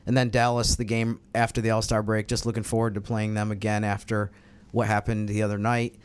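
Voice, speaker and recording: A man's voice: fairly low pitch, flat delivery, fairly quick, clear, resonant tone. A man from New York talking casually at a sports roundtable, with a wry, self-deprecating way of telling things. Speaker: podcast co-host and sports analyst.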